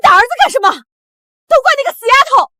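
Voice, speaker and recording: A woman's voice: extremely high pitch, big pitch swings, fairly quick, pushed and bright, no room sound, clean studio sound, heavily acted. An actress playing a hysterical, spiteful middle-aged rich mother with a wailing, melodramatic delivery. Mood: furious. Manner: wailing, dramatic. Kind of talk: melodramatic short-drama acting.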